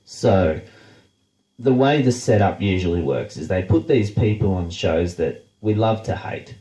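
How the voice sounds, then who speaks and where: Congested Australian man, slow and roomy. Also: low voice, animated, dark tone. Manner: blunt, sarcastic, swears freely. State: congested, nasal from a blocked nose. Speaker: show host. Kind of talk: casual profane rant.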